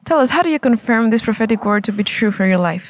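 A man interviewing a guest, asking formal, set-phrase questions in a brisk manner. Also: sits high, lively intonation, slight background noise.

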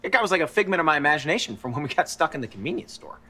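A smug know-it-all. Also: male voice, fairly high pitch, very animated, sharp tone, husky, exaggerated voice. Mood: lecturing. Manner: lecturing, insistent, quick-talking. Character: smug, know-it-all, fussy adult man. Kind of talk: sitcom acting.

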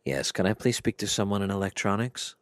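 A man making a prank phone call with deliberate, creepy insinuation. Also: lowish voice, animated, dry recording. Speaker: prank caller. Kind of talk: prank phone call in character as a film slasher.

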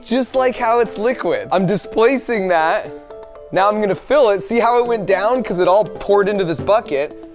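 Excited man presenting science, music behind. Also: high-pitched, normal speed. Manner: excited, asks leading questions. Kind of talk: hands-on science demonstration for children. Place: indoor set dressed as a summer camp.

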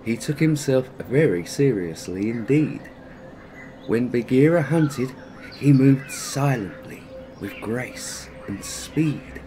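A man narrating a children's story expressively, in a measured way with dramatic pauses. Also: mid-range voice, lively intonation, warm tone, slight background noise. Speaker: storyteller, channel host.

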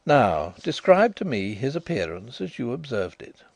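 A man voicing a composed gentleman detective who speaks in a measured, detached way. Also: mid-range voice, very animated, gravelly, dry recording.

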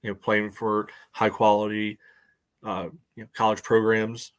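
A man's voice: on the low side, monotone, measured pace. An American man in his forties co-hosting a casual soccer chat over a video call. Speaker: sports podcast co-host. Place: room at home.

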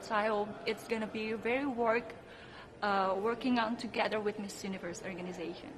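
A woman's voice: mid-range voice, fairly even intonation, a little fast. A woman in her twenties with an Indonesian accent, giving earnest, emphatic interview answers in non-native English as a pageant contestant. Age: 20s. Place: indoor press backdrop at a pageant event. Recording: clearly noisy room ambience.

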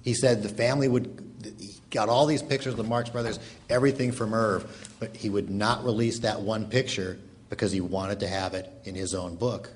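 A man's voice: lowish voice, steady tone, normal speed. A man moderating a live panel, explaining background stories in an informal, conversational way. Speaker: interview moderator. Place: live event in a theatre. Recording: live event pickup, faint noise.

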